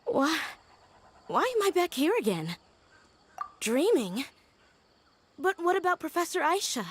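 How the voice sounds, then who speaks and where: An actress playing an earnest, wistful young woman in a video game, telling her story in a gentle, reflective way. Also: extremely high pitch, very animated, unhurried, a little hiss or hum, full character voice. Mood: confused. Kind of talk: English video game voice acting.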